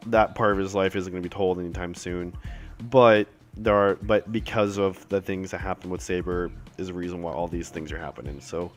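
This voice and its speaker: A man recording a casual update video, a little raspy from a cold, rambling with many fillers and restarts, apologetic and appreciative. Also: low-pitched, fairly even intonation, a little fast, faint music behind. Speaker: self-published author giving updates.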